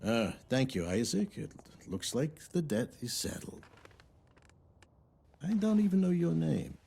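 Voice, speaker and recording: An actor playing a smug, sly loan shark in a video game cutscene, talking slowly and mockingly. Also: male voice, very animated, vocal fry, warm tone, a little hiss or hum, heavily acted. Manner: slow, mocking, theatrical, with swooping pitch.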